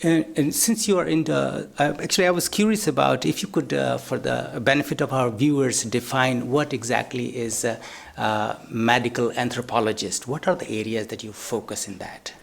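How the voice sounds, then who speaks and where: A man hosting a studio talk show, asking panel guests halting questions full of fillers. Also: medium pitch, gravelly.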